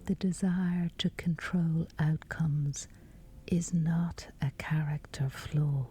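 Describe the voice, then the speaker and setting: A woman guiding a sleep meditation in a hushed, whispery, unhurried voice with long pauses, reading as scripted narration. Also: low voice, fairly even intonation, a little hiss or hum.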